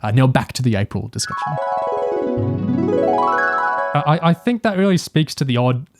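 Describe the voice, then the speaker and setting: A man's voice: mid-range voice, big pitch swings, normal speed, over loud music. A man co-hosting a podcast in casual discussion, tentative and musing as he thinks aloud. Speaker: podcast co-host.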